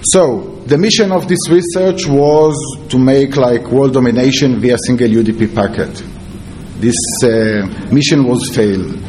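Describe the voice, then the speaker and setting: A man with an Israeli accent giving a conference presentation as a security researcher, in halting, non-fluent English. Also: mid-range voice, dark tone, slight background noise. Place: conference talk before an audience.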